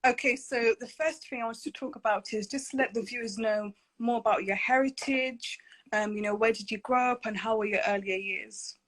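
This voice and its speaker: A woman's voice: a little high, steady tone, moderate pace, rough recording. A woman hosting a live video interview, speaking in a friendly way with ums and you-knows. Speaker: podcast host.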